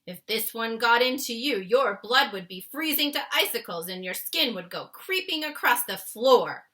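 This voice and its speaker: A woman voicing a big friendly male giant from a children's storybook, excitable and emphatic, with quaint muddled grammar. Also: on the high side, normal speed. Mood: distressed, dire warning. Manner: quaint muddled grammar with invented nonsense words; exclamatory, emphatic delivery.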